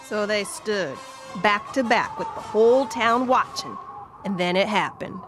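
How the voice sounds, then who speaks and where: A woman's voice: mid-range voice, lively intonation, measured pace, over loud music, natural voice. A woman playing a folksy, wry Old West storyteller, narrating an audio drama. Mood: wry storytelling. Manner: folksy, colloquial.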